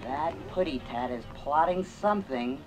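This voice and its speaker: A man voicing a small clever male canary in a storybook record, in a high chirpy sing-song, with synth music behind. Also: lively intonation, normal speed, lightly acted, rough recording. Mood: smug.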